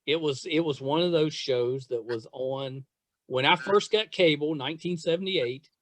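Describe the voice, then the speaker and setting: A man's voice: fairly high pitch, moderate pace, fairly bright tone. A middle-aged man hosting a casual interview, enthusiastic and rambling in the way he talks. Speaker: podcast host interviewing a guest. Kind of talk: casual chat.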